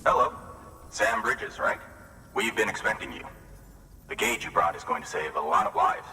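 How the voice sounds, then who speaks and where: A courteous weather station worker in a video game, thankful and reassuring. His voice sits low but rings bright and forward, with a long reverb trailing behind it. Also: lively intonation, moderate pace, a little hiss or hum, full character voice. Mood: grateful. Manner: friendly, grateful, reassuring. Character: grateful male weather station worker, polite. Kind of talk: video game cutscene dialogue.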